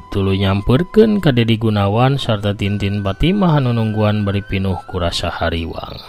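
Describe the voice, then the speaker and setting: A man with a Sundanese accent narrating a dramatic story as a storyteller. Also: fairly low pitch.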